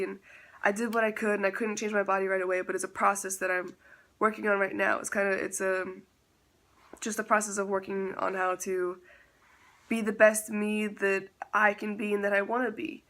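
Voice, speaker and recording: A man's voice: high voice, flat delivery, normal speed, sharp tone. Chatty young man with a stuffy nose. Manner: chatty, self-teasing, laughs often. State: stuffy nose, slightly sick. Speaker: vlogger. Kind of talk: casual chatty update.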